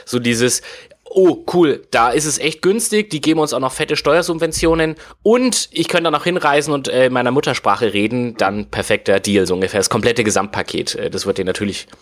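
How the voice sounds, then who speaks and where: A man's voice: mid-range voice, fairly quick. A man, explaining at length in a casual podcast chat, full of fillers and hedges. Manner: rambling, many fillers and hedges. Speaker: guest explaining game industry history.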